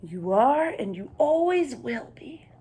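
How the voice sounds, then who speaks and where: A woman playing a gentle, loving father in a picture book, soft and calmly reassuring. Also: high voice, slow, slightly rough, exaggerated voice. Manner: soft, calm, assuring.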